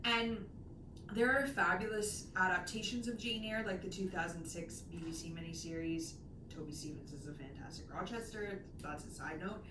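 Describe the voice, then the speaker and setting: A woman chats casually and enthusiastically about books, leaning on her words and drifting into tangents. She is recorded some distance from the mic in an echoey room, with clear noise behind her. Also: on the low side, moderate pace. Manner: enthusiastic, digressive, emphatic. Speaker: co-host of a book discussion channel. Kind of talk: casual chatty book discussion.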